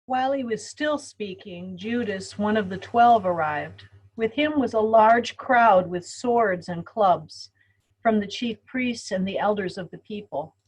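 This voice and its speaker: A woman's voice: mid-range voice, unhurried, darkish tone, husky, poor audio. A woman pastor giving a dramatic reading of scripture over a video call. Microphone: video call microphone.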